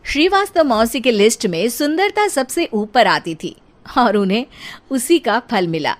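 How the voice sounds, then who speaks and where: A woman's voice: fairly high pitch, big pitch swings, measured pace. A woman narrator telling a scripted comic family tale. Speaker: story narrator.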